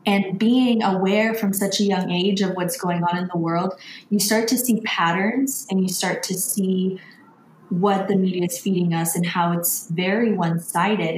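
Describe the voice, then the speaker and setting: A woman hosts a casual interview, earnest and reflective as she talks at length. Her voice is lowish for a woman, recorded in an echoey room with faint noise underneath. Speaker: podcast host.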